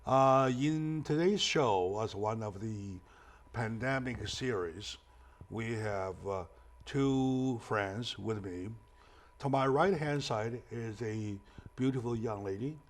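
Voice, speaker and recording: A man hosting a talk show interview, speaking with halting, hesitant phrasing. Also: fairly low pitch.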